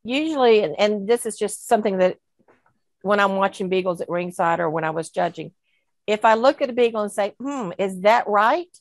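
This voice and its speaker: A woman's voice: medium pitch, animated, normal speed, no room sound. A woman on a video call panel, talking casually and thinking aloud with rhetorical questions about dog breeding.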